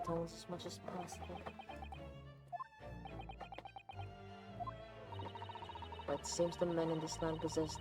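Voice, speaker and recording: A woman voicing a haughty, condescending young English gentlewoman while reading game dialogue aloud. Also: low voice, lightly acted. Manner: pompous, looks down on others. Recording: game music behind voice.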